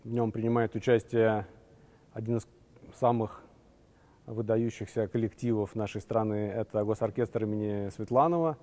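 A young adult man, a guitarist and festival organizer, telling his story in a measured, fluent interview. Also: on the low side, steady tone, dark tone. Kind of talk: interview recollections. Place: large empty concert hall, indoors.

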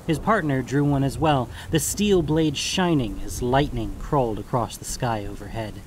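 A man's voice: medium pitch, normal speed, a little hiss or hum, rough recording. A man reading scripted fantasy story narration as the narrator.

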